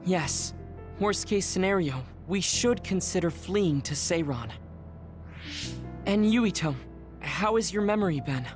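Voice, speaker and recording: An actor playing a composed, analytical young strategist in a video game, speaking formally and in a measured way. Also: male voice, high-pitched, animated, faint music behind. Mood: serious, analytical. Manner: measured, formal, matter-of-fact. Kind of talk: anime game dub acting.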